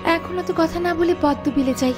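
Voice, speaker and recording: A woman's voice: very high-pitched, normal speed. A woman playing a kind, stubborn young village girl in a cartoon fable, earnest and insistent. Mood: kindly musing. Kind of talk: Bengali cartoon fable voice acting. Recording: loud music under the voice. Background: music.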